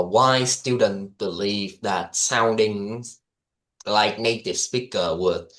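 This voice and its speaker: A man with a Vietnamese accent, co-hosting a casual discussion, talking loosely with many fillers and small laughs. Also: on the low side.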